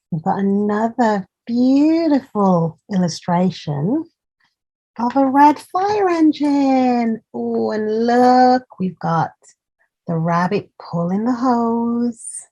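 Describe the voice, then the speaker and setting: A woman reads a picture book aloud to small children at an unhurried pace. Her voice is mellow and a little husky, and she adds encouraging asides about the details as a storytime host would. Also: mid-range voice, muffled recording. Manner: encouraging, pointing out details to young listeners.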